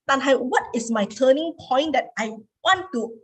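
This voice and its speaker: Young female guest speaker, slightly raised pitch, husky tone, repeats key phrases for emphasis. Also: expressive, moderate pace. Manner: code-switches between Cantonese and English. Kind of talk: motivational seminar talk.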